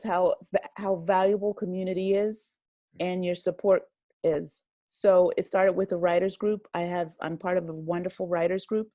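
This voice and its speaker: A woman speaking as a podcast guest in casual conversation, reflective and appreciative, gathering her thoughts haltingly as she goes. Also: medium pitch, darkish tone, no echo. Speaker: podcast guest, writer and audio drama creator.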